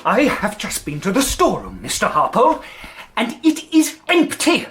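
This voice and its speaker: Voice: mid-range voice, wildly swooping pitch, normal speed, fairly bright tone, slight background noise. An actor playing a fussy, aggrieved schoolteacher, emphatic and outraged, in a radio comedy-drama. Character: indignant, fussy schoolteacher, incoherent with rage and grief.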